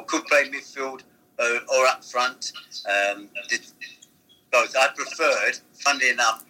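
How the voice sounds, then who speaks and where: Older man with a bright, husky voice. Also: medium pitch, poor audio. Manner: rambling anecdotal storytelling. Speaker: former football manager guest. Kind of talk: reminiscing interview.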